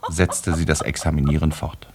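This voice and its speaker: A man narrating a literary radio play as a composed, dryly ironic storyteller, with a husky voice in measured delivery. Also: flat delivery, heavy background noise. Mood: composed, wry. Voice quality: very deep, husky. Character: wry, composed male storyteller of a literary novel.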